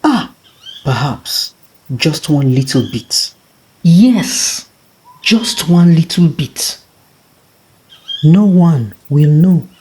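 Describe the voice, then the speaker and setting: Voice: medium pitch, expressive, very slow, long pauses, a little mellow, husky, voice pushed up, heavily acted. A storyteller voicing a sly, greedy, envious tortoise who mutters to himself in a folktale. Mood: gleeful, sly.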